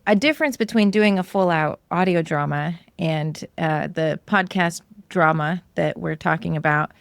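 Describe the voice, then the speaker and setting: Female podcast host, slightly low voice, dry close sound, casual and affirming conversational interviewing. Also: moderate pace, creaky, no room sound.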